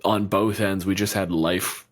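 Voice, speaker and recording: A man with a fairly low voice chatting as a podcast co-host. He talks loosely, with plenty of swearing, restarts and asides. The tone stays informal throughout. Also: little pitch movement, measured pace. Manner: loose and profane, rambling with restarts. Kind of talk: casual chat.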